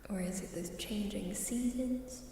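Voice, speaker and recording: Soft asmr streamer lady, creaky n whispery, kinda queasy sounding, super echoey room. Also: mid-range voice. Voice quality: breathy, often whispery. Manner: slow, hesitant, halting, apologetic. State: unwell, queasy. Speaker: live streamer. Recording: very echoey, distant, faint background noise.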